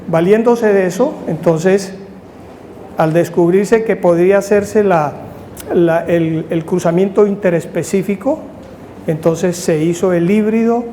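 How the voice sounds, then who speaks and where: An older man with a Colombian accent giving an academic lecture in measured, halting short phrases with restarts. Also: high-pitched, a little mellow. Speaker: university lecturer, agronomist. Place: university seminar room. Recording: faint background noise.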